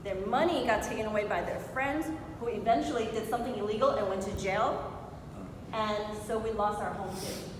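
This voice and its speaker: Echoey hall recording of a woman speaking motivationally. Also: moderate pace. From Hong Kong. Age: 40s. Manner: rhetorical questions to the audience. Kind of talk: motivational speech telling a personal story. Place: large echoing room before an audience. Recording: very echoey hall with clear noise and rumble.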